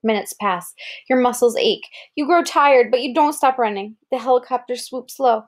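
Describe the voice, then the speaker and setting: Female, higher-pitched voice, read-aloud narration for a young listener, explanatory delivery with casual asides. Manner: explanatory, pausing to gloss words and point out pictures. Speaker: reader presenting an article aloud to a child.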